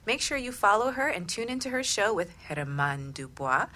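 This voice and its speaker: A woman's voice: mid-range voice, big pitch swings, normal speed, pushed and bright. A woman co-hosting a radio talk show, reading credits and putting questions to a guest.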